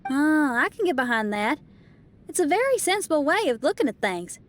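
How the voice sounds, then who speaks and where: A woman's voice: high voice, sing-song, moderate pace. A woman playing an easygoing, friendly female adventurer companion in an anime video game. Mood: agreeable.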